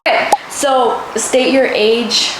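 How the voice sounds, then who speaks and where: A woman's voice: on the high side, steady tone, takes it slowly. A woman in her twenties from the United States, chatting casually to camera as a channel co-host. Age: 20s. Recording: roomy echo, clear background noise.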